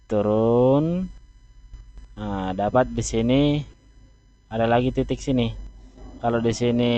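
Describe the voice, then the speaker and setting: A man teaching math, talking through a graph step by step with slow, deliberate pauses. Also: medium pitch, dark tone. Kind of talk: explaining at a board. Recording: dull, dry lo-fi recording.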